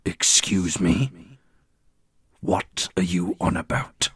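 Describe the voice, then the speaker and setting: A man playing a proud, earnest dark prince in a fantasy audio roleplay, speaking formally. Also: low voice, slow, husky, no echo, heavily acted. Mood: indignant.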